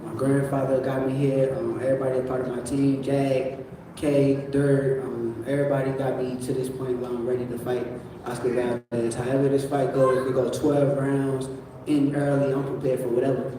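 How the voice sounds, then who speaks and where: A male boxer talks in a laid-back, blunt way, pausing with "um" often. His pitch is middling, and the voice sounds distant and heavily echoed. There is noticeable background noise from the room. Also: level tone, mellow. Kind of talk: press conference answers. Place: large event room. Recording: echoey room picked up from far off.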